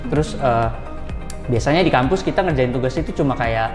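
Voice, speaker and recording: Fast-talking young man, echoey. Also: mid-range voice, expressive. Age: 20s. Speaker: interview guest, IT specialist. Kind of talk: casual interview answers. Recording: roomy echoing hall, music behind.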